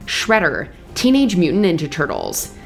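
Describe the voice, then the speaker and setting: A woman's voice: on the low side, huge pitch swings, moderate pace, vocal fry, soft background music. A woman narrating a scripted countdown show with polished presenter delivery.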